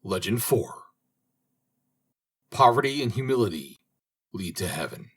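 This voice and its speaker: Husky man reading a fairy tale aloud. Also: on the low side. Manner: slow, expressive reading. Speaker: audiobook reader. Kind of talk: storybook narration.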